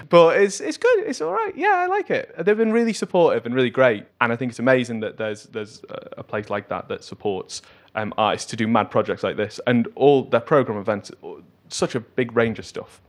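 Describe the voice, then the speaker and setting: A man chatting casually as a comedy guest, jokey and self-deprecating, with hesitant asides. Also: mid-range voice.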